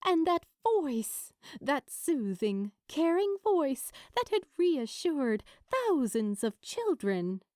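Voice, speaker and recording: A woman reading fiction as an audiobook narrator, in an expressive storytelling style. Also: sits high, slow, few pauses, dry recording, clean studio sound.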